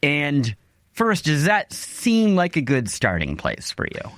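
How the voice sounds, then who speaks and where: Animated male podcast host, higher-pitched voice. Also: slow, dry recording, clean studio sound. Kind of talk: scripted podcast narration and ad read.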